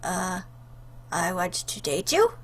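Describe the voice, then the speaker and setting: A woman hosting a casual let's play, commenting in an expressive, playful, joking way. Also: on the low side, takes it slowly, husky. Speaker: host, gaming YouTuber. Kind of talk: casual let's play commentary, reading game lines aloud.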